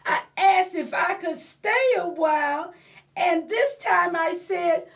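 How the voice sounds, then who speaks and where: Woman voicing a forlorn, shrill little storybook pumpkin. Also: vocal fry, distant mic, full character voice. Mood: plaintive, forlorn. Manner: plaintive, theatrical, drawn-out rhyming delivery. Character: forlorn, dramatic ugly little pumpkin telling its life story. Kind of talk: storybook character read-aloud.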